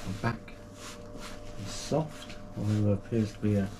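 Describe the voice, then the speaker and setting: A man's voice: fairly low pitch, fairly even intonation, normal speed, noisy background. Chatty man unboxing at home. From United Kingdom. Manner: chatty and enthusiastic, with frequent fillers and restarts. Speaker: kayaking channel host. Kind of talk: casual product unboxing. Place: small home gaming room, indoors.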